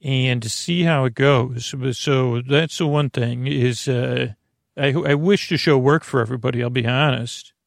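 Creaky-voiced man, rambling bedtime podcast host. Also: medium pitch, no echo, studio quality. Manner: meandering, tangled, self-deprecating. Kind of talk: rambling bedtime monologue to the listener.

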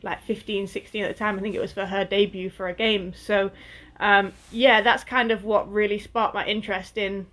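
A woman's voice: medium pitch, level tone, normal speed, a bit bright. Chatty young woman from Northwest England. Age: 20s. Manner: chatty, lots of fillers, laughs easily. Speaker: guest athlete. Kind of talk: casual interview chat.

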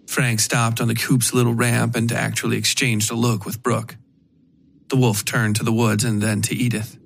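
A man narrating a scripted dark fiction story for a podcast. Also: medium pitch, level tone, normal speed, vocal fry, a little hiss or hum.

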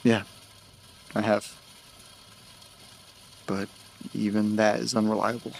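An actor playing an anxious young man who can't remember the past year, talking in a hushed, stammering way. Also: fairly low pitch, little pitch movement, slow pace, vocal fry, a little hiss or hum. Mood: nervous. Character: anxious young man haunted by memory loss. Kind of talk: audio drama acting.

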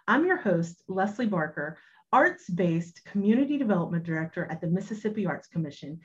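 A woman's voice: mid-range voice, darkish tone, breathy edge. A woman hosting a weekly radio arts program, introducing and chatting with a guest in a friendly way. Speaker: radio host. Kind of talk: radio interview. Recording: dull lo-fi sound.

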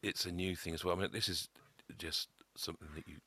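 A man telling his own story as a podcast guest, halting often with a stammer. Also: deep voice, steady tone, sharp tone, husky, no room sound. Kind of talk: personal testimony.

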